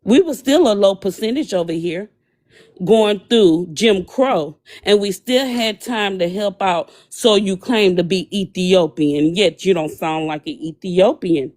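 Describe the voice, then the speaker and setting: Slow, emphatic lecturing woman. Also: mid-range voice, darkish tone. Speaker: panel guest. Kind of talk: heated online panel debate.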